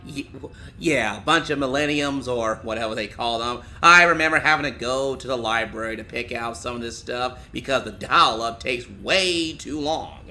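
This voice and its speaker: A man's voice: mid-range voice, moderate pace, few pauses, sharp tone, a little hiss or hum. A man playing an awkward, well-meaning boss in an audio drama, hesitant and stammering a little. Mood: awkward, polite. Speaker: voice actor.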